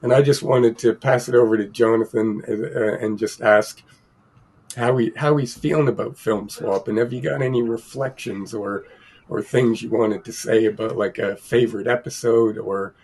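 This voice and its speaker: A man co-hosting a casual film podcast, sounding croaky from a cold and rambling with many fillers and restarts. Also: on the low side, steady tone, moderate pace, darkish tone. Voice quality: gravelly, husky. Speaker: podcast co-host.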